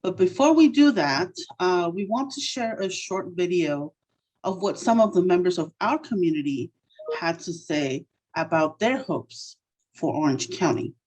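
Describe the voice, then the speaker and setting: A woman moderating a panel event, unhurried, with frequent fillers and restarts. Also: fairly low pitch, a little mellow, rough recording.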